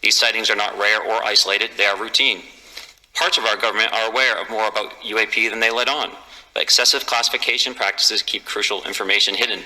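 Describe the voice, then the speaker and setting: Low-voiced man with a bright, sharp tone. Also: fairly even intonation, poor audio. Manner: measured, matter-of-fact, technical. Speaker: former military pilot giving testimony. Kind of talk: formal testimony and interview answers.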